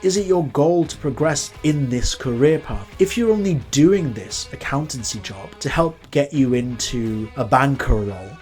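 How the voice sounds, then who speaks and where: A man's voice: mid-range voice, lively intonation, normal speed, few pauses, darkish tone. A young man originally from Nepal gives earnest career advice in a vlog, posing questions straight to the viewer. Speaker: accountant giving career advice. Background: faint background music.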